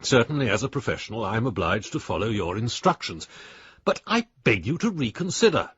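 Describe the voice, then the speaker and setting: An actor playing an exasperated older English adviser, husky, clipped and scornful, reading his letters aloud in a radio drama. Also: on the low side, big pitch swings, a little fast, a bit bright, no echo, full character voice. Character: blunt, exasperated old friend and professional adviser, male. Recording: dry, slightly dull studio sound.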